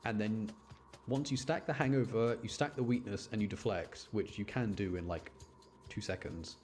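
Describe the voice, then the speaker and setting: Low-voiced man streaming a game. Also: normal speed, a little mellow, slight background noise. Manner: rambling, repeats words and restarts often. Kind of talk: casual live gaming commentary.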